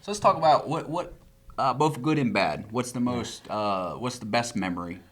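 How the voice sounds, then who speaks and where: A man hosting a casual sports podcast, relaxed and full of fillers and restarts. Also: medium pitch, normal speed, slight background noise. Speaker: podcast host and coach.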